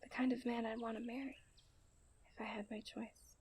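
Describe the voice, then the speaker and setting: A young woman playing a coy, refined and tender princess in a romantic ASMR roleplay, speaking in playful storybook diction that often softens to a near-whisper. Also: a little high, little pitch movement, normal speed, slight background noise, lightly acted. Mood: tender, earnest. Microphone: close studio-style microphone.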